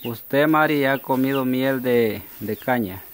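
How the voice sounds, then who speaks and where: A man chatting casually while filming with a phone in an outdoor rustic kitchen, halting and repeating words. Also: medium pitch, crowd noise. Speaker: off-camera family member filming. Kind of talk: casual family chat. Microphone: handheld phone camera.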